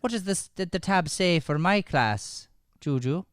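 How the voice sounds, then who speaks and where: A man's voice: high voice, expressive, measured pace, no room sound, clean studio sound. A man playing a stern, easily flustered schoolteacher who interrogates and repeats himself in an improvised radio comedy. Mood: suspicious. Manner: interrogating, repetitive, flustered when challenged. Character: stern, exasperated male schoolteacher scolding a child in detention. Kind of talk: improvised old-time radio comedy acting.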